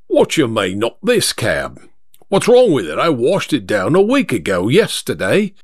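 A man's voice: mid-range voice, wildly swooping pitch, unhurried, dry recording, clean studio sound. Man putting on an indignant old-time London cabby. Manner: blunt, aggrieved working-class talk. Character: indignant Victorian London cabby, first in line.

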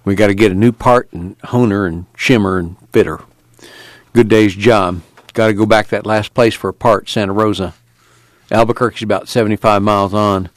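Man as a steady, plain-spoken country mechanic. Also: lowish voice, normal speed, no room sound, lightly acted. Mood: matter-of-fact. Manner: plain, folksy, instructing, clipped phrases.

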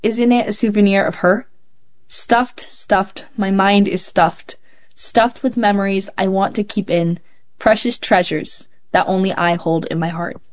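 Woman reading stories out calm and steady, kinda muffled sound. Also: mid-range voice, measured pace. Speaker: host reading young writers' work. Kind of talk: measured read-aloud narration. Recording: slightly muffled, dull sound.

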